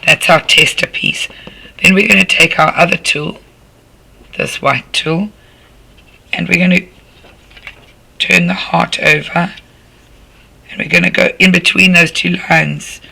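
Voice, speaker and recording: Croaky-voiced man teaching a craft. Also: fairly high pitch, fairly even intonation, measured pace, long pauses, bright, forceful tone, low-quality recording. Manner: patient, instructive, talking while working with hands. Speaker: art tutor. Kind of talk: step-by-step craft demonstration.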